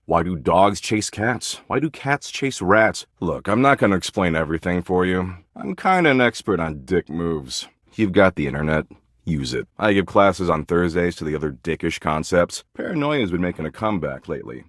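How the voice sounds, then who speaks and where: An actor playing a smug, crude, sarcastic embodiment of existential dread in a dating game, snarky, dismissive and boastful. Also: male voice, deep voice, very animated, moderate pace, fairly bright tone, no echo, studio quality, heavily acted. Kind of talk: dating sim character dialogue.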